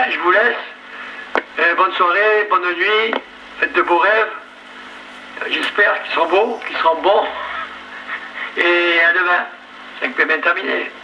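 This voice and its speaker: A man chatting casually as a radio amateur over a two-way radio. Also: high-pitched. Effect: two-way radio transmission. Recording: dull, slightly noisy ham radio relay.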